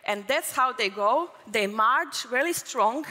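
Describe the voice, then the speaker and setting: Bright-voiced woman giving a conference talk. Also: fairly high pitch, animated, measured pace. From Croatia. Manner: fluent, explanatory, with occasional fillers. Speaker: conference speaker. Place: large conference hall. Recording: hall reverb from a conference stage.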